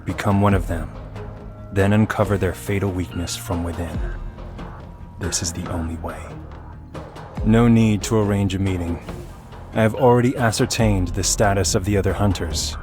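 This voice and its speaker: A man voicing a grave, ominous hunter warrior in a video game, speaking in a solemn, measured, formal way. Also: sits low, fairly even intonation, over music, close to an everyday voice. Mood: grave, stern. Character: grave, ominous male abyssal hunter warrior, distrustful of land dwellers. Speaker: voice actor for a video game character. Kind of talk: scripted video game character lines.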